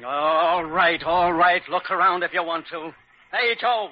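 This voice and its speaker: An actor playing a gruff, stubborn, impatient construction foreman in an old-time radio drama, brusque and defensive. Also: high voice, unhurried. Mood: grudging. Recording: muffled, noisy vintage radio recording.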